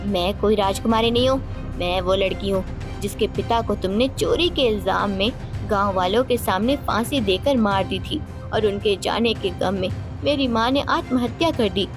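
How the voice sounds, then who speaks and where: A woman's voice: medium pitch, normal speed, close to an everyday voice. A woman voicing a scheming, vengeful queen in a cartoon moral story, accusing and theatrical in manner. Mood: bitter, vengeful. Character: vengeful, scheming young queen, cold and mocking. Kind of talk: dramatic Hindi moral-story cartoon dialogue. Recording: loud music bed behind voice.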